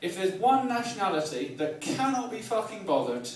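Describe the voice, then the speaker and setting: Southern english bloke doing standup, highish voice, self-deprecating, repeats himself, mic sounds far off. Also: male voice, sing-song, moderate pace. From Kent, England. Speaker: stand-up comedian. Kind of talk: live stand-up comedy. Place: live comedy night in a pub venue. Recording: echoey club, distant mic, audience noise. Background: audience laughter.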